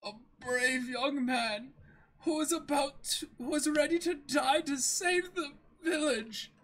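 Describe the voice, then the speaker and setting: A man voicing a gentle, anxious young rich girl, pleading in an amateur dramatic manga reading. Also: extremely high pitch, slow, pitched above the performer's own voice, heavily acted, rough recording. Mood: anguished.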